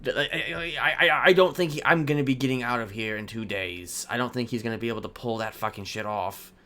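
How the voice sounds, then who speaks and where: A man's voice: mid-range voice, a little fast, pushed and bright, lightly acted. A man playing a prison inmate in an online crime roleplay game, stammering, repeating himself and swearing freely. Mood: doubtful, amused. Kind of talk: improvised in-character roleplay chat.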